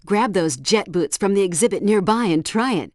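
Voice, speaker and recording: A woman's voice: mid-range voice, animated, normal speed, dry recording, lightly acted. Woman voicing an instructive game helpdesk guide, dry sound. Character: female helpdesk guide briefing a secret agent, helpful and instructive. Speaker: in-game tutorial narrator. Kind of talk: scripted video game tutorial instructions.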